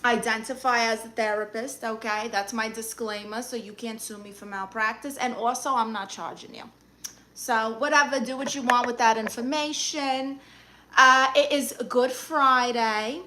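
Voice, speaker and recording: A woman runs her own live stream, greeting viewers one by one and tossing off sassy, joking replies. Her voice is bright and forceful, set a little high for a woman. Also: fairly even intonation. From Brooklyn, New York. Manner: sassy, playful, quick comebacks. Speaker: livestreamer. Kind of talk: casual live-stream chat, reading out viewer comments.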